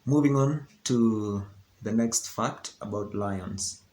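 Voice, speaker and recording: A man's voice: fairly low pitch, slow, darkish tone. A young Kenyan man with a Kenyan accent, English not his first language, explaining things in a chatty vlog, often checking in with "okay? yeah". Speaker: vlogger. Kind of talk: casual educational vlog talk. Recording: roomy echo with faint background hiss.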